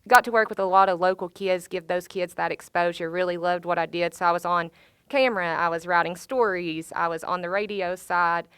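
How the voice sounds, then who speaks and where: A woman's voice: on the low side, a bit bright, smooth, no room sound. A woman, talking on a panel about her broadcasting career in an upbeat, conversational way. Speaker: alumni panelist, sports broadcaster. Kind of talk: panel talk.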